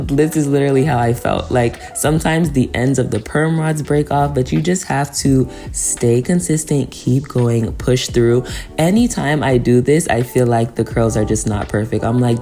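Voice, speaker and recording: A woman's voice: very deep voice, dark tone. A woman giving a casual, chatty tutorial voice-over, speaking to viewers in an encouraging way. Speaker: hair tutorial vlogger.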